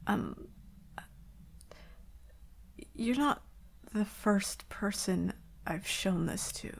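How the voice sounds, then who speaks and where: Woman acting a slow, halting, anxious werewolf girlfriend. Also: medium pitch, full character voice. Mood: hurt, sorrowful. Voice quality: soft, breathy whispering. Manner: hesitant, halting, stammering, often whispering. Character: anxious, vulnerable werewolf girlfriend baring her fears. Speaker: ASMR roleplay performer. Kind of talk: ASMR roleplay, intimate monologue.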